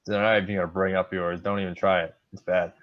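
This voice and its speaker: A young man co-hosting a casual sports podcast, joking around and talking with quick, animated asides. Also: sits low. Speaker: podcast co-host. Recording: dull lo-fi sound.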